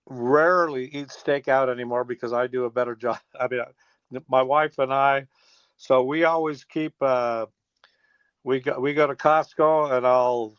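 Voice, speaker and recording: Male, 60s, American. Folksy, meandering interviewee, mid-pitched, over a dull phone connection. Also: smooth, no room sound. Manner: folksy, rambling with trailing restarts. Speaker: interview guest, teacher and former air traffic controller. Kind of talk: casual phone interview, reminiscing. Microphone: telephone.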